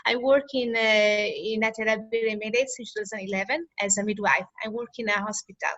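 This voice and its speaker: A woman in her forties from Italy with an Italian accent, a midwife talking earnestly on a panel in halting English and laughing often. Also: medium pitch, steady tone, fairly bright tone, dry recording, highs cut off. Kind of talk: casual panel chat.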